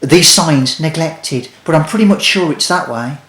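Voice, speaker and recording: A man's voice: a little high, normal speed, a bit bright. A man voicing a cheery, confident know-it-all. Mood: upbeat. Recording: echoey room.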